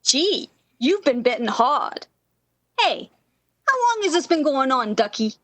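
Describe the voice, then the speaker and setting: A woman's voice: high voice, big pitch swings, unhurried, a bit bright, dry recording, heavily acted. A woman playing a brassy, motherly and worldly-wise night switchboard operator in 1930s radio style, slangy and teasing with endearments. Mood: skeptical, caring.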